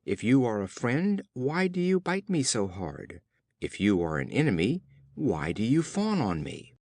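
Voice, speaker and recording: A man's voice: medium pitch, sing-song, unhurried, a little mellow, no room sound. A man reading as an indignant storybook hare, pleading and pointed. The formal lines rise and fall widely in a dry recording. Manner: pointed questioning, formal phrasing.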